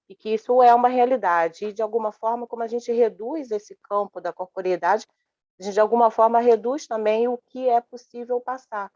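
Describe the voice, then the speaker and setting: A woman's voice: mid-range voice, a little mellow, no echo. A woman in the audience asking a long, hesitant question at a panel, with many restarts. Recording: dull lo-fi sound.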